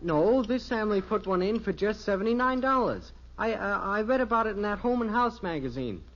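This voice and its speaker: Literal-minded teen son, high-pitched, persistent, earnest, vintage radio comedy with dull sound. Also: male voice, expressive, a little fast, fairly bright tone. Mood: eager, earnest. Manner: naive, casual, persistent. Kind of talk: 1950s radio sitcom acting. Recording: dull old radio recording.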